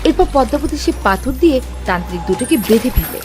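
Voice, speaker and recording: A woman's voice: on the high side, expressive, fast, over music, natural voice. A woman narrating a dramatic fairy tale for a cartoon in a scripted storytelling style.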